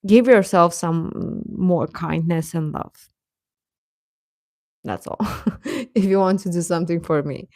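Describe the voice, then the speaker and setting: A woman hosting a casual livestream, chatting to viewers in a gentle, encouraging, affectionate way. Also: on the low side, slow pace, pauses often, no echo, clean studio sound. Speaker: livestream host.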